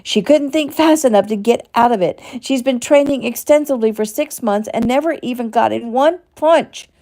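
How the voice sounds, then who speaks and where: A woman voicing a traumatized young woman who shouts in rage and breaks toward tears. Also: fairly high pitch, moderate pace, gravelly, pitched above the performer's own voice. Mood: furious.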